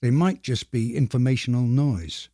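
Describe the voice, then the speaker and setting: A man with a mellow, medium-pitched voice discusses things on a podcast. He is measured and deadpan, with slightly formal phrasing. The recording is very dry. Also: dry recording. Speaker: podcast co-host.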